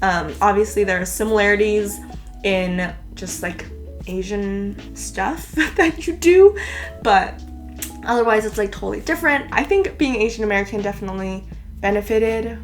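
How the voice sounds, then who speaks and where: A woman's voice: medium pitch, moderate pace, faint music behind. A young woman from California, Cantonese her first language, chatting casually through tag questions in a vlog, with many "like"s. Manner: chatty, filler-heavy, lots of "like". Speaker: YouTuber.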